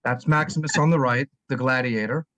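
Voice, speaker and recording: A man's voice: medium pitch, a little fast, a bit bright. A man in the audience answering a question, hesitant and searching for words. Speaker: audience member. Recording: faint voice from far off.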